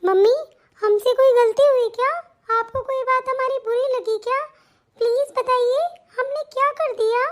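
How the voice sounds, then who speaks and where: A woman's voice: very high voice, steady tone, moderate pace. A woman voicing a sweet, dutiful young daughter-in-law in a melodramatic family cartoon, earnest and deferential. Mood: worried.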